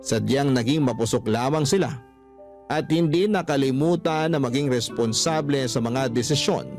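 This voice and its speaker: A man giving an earnest, measured dramatic narration of a heartfelt first-person story, radio style. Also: mid-range voice, darkish tone, soft background music.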